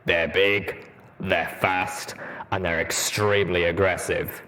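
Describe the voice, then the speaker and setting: Man voicing a deep, rumbling armored war veteran. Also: flat delivery, slow, sharp tone, slight background noise, heavily acted. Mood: grim, matter-of-fact. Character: gruff armored male warhorse veteran, grave and blunt. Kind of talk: audiobook character dialogue.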